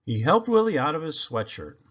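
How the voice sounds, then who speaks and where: A man reading a children's book aloud with expressive, emphatic delivery. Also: on the high side, brisk, muffled recording. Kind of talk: animated storybook read-aloud narration.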